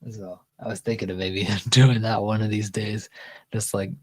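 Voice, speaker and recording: A Texan-accented man hosting a relaxed livestream chat, talking in a somewhat low chest voice. He reads viewers' comments aloud and wanders through his answers with easygoing restarts. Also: moderate pace. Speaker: livestream host.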